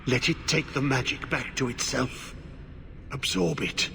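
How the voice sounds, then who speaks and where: An actor playing a half-mad, blighted old warden in a video game, muttering in halting, repetitive fragments. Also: male voice, mid-range voice, steady tone, creaky, bright, forceful tone, a little hiss or hum. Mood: reverent. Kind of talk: dramatic video game acting.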